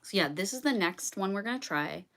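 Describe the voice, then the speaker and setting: A woman's voice: mid-range voice, lively intonation, a little fast, fairly bright tone. A woman singer-songwriter chatting casually with viewers during a livestream, rambling with lots of "um"s and restarts.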